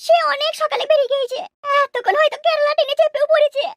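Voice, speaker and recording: A woman's voice: very high-pitched, steady tone, racing, no echo, clean studio sound. A woman voicing a flirtatious, coy village housewife in a comic cartoon.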